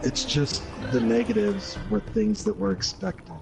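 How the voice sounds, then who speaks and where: A man's voice: high-pitched, animated, a little slow, creaky. A man giving opinions in a casual, argumentative gaming chat, mimicking the people he disagrees with. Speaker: gaming show co-host. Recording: loud music behind the voice. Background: music.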